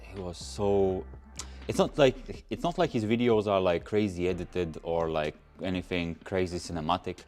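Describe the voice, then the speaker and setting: A man's voice: low-pitched, moderate pace, darkish tone, soft background music. A young man from Latvia, not a native English speaker, casually answering chat questions on a livestream and rambling with lots of fillers. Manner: loose and rambling, many fillers and restarts. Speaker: car channel host.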